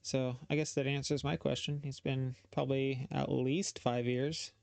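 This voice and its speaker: A man's voice: medium pitch, little pitch movement, normal speed, gravelly, darkish tone, smooth. A man giving casual reaction commentary as a host, often thinking aloud and hesitating.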